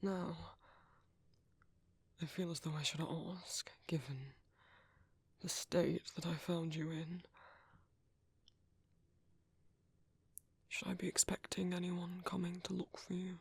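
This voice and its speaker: A British man playing a gentle, protective vampire priest in an ASMR roleplay, speaking in hushed, hesitant, soothing whispers. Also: high-pitched, steady tone, measured pace, creaky, breathy edge, no room sound, exaggerated voice. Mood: gentle concern. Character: gentle, soft-spoken male vampire priest, protective caretaker.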